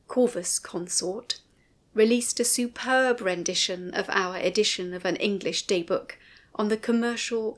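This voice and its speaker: A woman reads a scripted documentary commentary in a measured, formal way. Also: medium pitch. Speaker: narrator and writer of an audio commentary.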